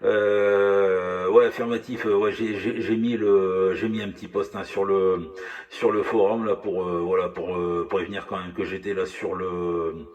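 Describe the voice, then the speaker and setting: A man's voice: low-pitched, little pitch movement, measured pace, a little mellow, recorded from a distance, highs cut off. A man chatting casually over two-way radio as a hobby operator, speaking hesitantly, repeating himself and often apologetic.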